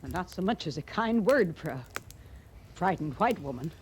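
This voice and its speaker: A woman's voice: lowish voice, lively intonation, moderate pace, close to an everyday voice. An actress playing a forthright, folksy woman settler in a 1950s radio western, on a noisy old recording. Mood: indignant. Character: outspoken, plain-spoken married woman settler heading west.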